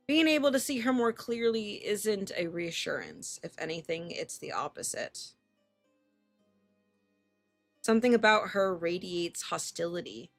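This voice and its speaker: A woman streamer reading a visual novel aloud expressively, with casual, sweary reactions. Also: lowish voice.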